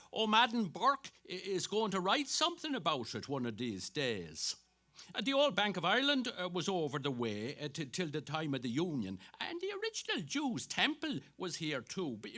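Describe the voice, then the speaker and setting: A man's voice: high-pitched, sharp tone, full character voice. A man playing a hearty, eager fellow who stammers and exclaims as he shows off old sights, in a staged reading. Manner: hesitant stammering repeats, exclamatory. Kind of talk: dramatic literary reading on stage.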